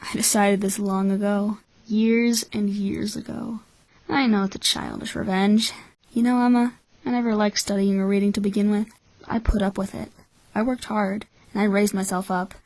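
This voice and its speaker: A woman acts out a coolly bitter young orphan boy, calculating and cynical, at a middling pitch for a female voice. The delivery is blunt and measured, like an anime dub, with a dry, close sound. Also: little pitch movement, darkish tone, no room sound, natural voice, rough recording. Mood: bitter, defiant. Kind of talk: anime-style fan dub acting.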